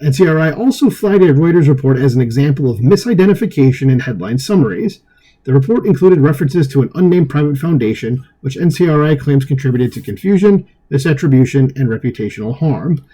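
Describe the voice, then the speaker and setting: A man with a husky voice reading an article aloud as an online commentary host, dropping in opinionated, dismissive asides. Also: medium pitch, animated, fairly quick, dark tone, low-quality recording.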